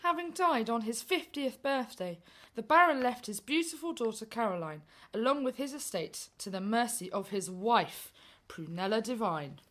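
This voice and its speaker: A theatrical storyteller from a children's pantomime on radio, acted out by a woman. The voice is playful and dramatic, bright, slightly high and very animated. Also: normal speed. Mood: mock solemn. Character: chatty, theatrical storyteller servant, pantomime narrator. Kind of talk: children's radio play acting.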